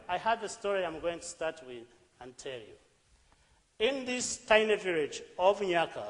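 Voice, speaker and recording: A middle-aged man with a Ugandan accent speaks earnestly and deliberately to an audience. His voice sits fairly high, and it rises in emphatic bursts. A long reverb from a big hall hangs around every word. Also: a bit bright. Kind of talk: public talk.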